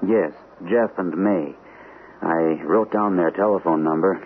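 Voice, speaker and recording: An old, tinny radio recording carries a fragile, shell-shocked captain. His voice is fairly low and halting, anxious as he tells his story in radio drama style. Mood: subdued, haunted. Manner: hesitant, halting delivery. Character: shell-shocked army captain, anxious, fragile First World War veteran. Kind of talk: old-time radio drama acting with first-person narration. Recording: muffled, tinny old radio broadcast, faint hiss.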